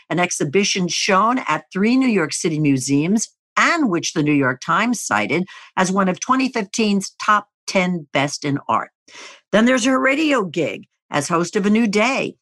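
Woman host with lively, rising pitch. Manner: polished, inviting questioner. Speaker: interview show host. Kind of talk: scripted introduction and interview.

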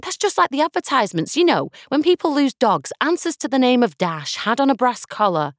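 A woman's voice: sits high, very animated, fairly quick, no room sound, studio quality. A woman voicing a whimsical, giggly storybook little girl rambling to herself. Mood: amused, rambling.

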